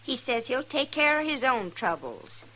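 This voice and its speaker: A woman's voice: high voice, big pitch swings, normal speed, sharp tone, full character voice, rough recording. A woman playing an earnest, naive young ranch girl with a rural American Western accent in a 1950s radio western. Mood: earnest, worried. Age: young adult. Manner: plain-spoken, artless, literal.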